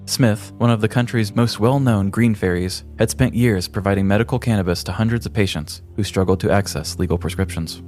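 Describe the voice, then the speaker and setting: Male narrator for comic true-crime storytelling: low-pitched, scripted, dramatic, with faint music underneath. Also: fairly quick, few pauses, darkish tone. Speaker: podcast host.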